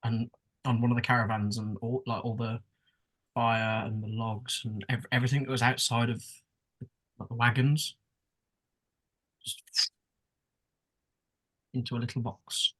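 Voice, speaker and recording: A man's voice: medium pitch, little pitch movement, a little slow, pauses often, a bit bright, rough recording. A man with an English accent narrating casually as game master of a tabletop roleplaying game. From England.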